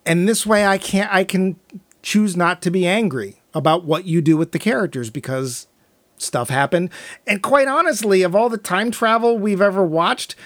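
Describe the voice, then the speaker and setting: Male podcast co-host: high voice, dry close sound, animated and jokey. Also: normal speed, dry recording. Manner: animated, jokey, acts out mock quotes. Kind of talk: casual chat, film review.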